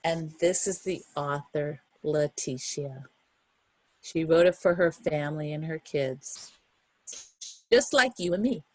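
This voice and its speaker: A woman reading a picture book aloud to young children, expressive and encouraging, with chatty asides and questions to her listeners. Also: low-pitched, slow, poor audio. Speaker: children's storybook reader.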